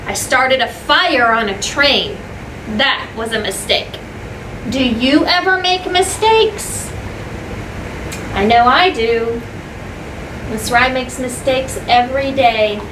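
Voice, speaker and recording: A woman's voice: high voice, slow pace, long pauses. A woman teacher reading a story aloud to children, stopping for simple explanations, repeating points and putting questions to the listeners. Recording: echoey room, distant mic, clear background noise.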